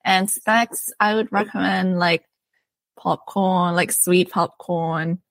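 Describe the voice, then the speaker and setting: A woman's voice: fairly low pitch, steady tone, takes it slowly, no echo. A young woman from Thailand, an author being interviewed, chatty and friendly, full of "like" and "um". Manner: friendly, chatty, many "like" and "um" fillers. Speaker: author and guest. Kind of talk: casual interview chat.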